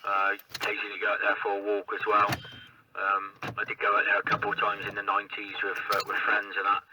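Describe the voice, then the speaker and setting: A man's voice: fairly low pitch, monotone, fast talker. A man chatting casually and politely over a CB radio link. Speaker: CB radio caller. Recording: muffled, noisy, distant CB radio transmission.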